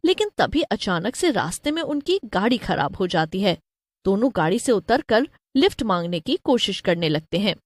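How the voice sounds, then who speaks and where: A woman's voice: mid-range voice, big pitch swings, normal speed, no room sound. A woman narrator giving a scripted narration of a cartoon story.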